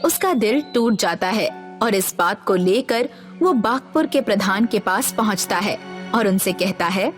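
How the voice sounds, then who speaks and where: A woman giving scripted narration for an animated children's story. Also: medium pitch, a little slow, soft background music.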